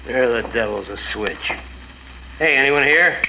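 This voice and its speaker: An actor playing a gruff, skeptical police inspector, brusque and wisecracking in 1940s radio drama style. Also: male voice, mid-range voice, animated, a little slow. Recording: muffled, faintly noisy 1940s radio recording.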